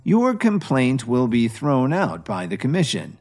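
A man voicing a calm, smug hotel manager who speaks slowly and evenly. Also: mid-range voice, soft background music, exaggerated voice. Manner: calm, measured, sarcastic.

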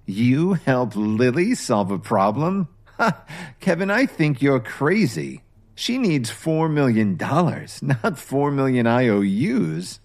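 A spiteful young woman in an audio story, sneering and drawing out her taunts, as a man puts her on. Her voice sits well above a man's natural pitch and swings with lively scorn. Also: heavily acted. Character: mocking, cackling young woman, a spiteful rival.

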